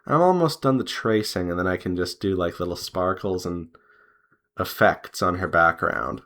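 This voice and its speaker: A man chatting casually as he draws, rambling in an unhurried way and pausing mid-sentence. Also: deep voice, a bit bright. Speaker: artist and devlog host. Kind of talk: casual chat while drawing.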